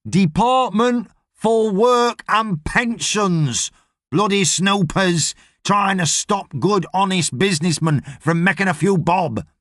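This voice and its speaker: Man doing a gruff, shouty small-time boss, raised pitch. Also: slow, dry recording, studio quality. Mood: exasperated. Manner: blunt, sweary, shouty. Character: gruff, irritable, shady middle-aged male welding boss. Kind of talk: audiobook character voice.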